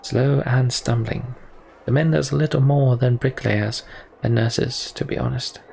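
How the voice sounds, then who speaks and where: A man playing a candid, disillusioned young prince in a fantasy game, speaking in a measured, reflective way. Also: medium pitch, fairly even intonation, vocal fry, a little mellow, a little hiss or hum, poor audio. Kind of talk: voiced game dialogue reading.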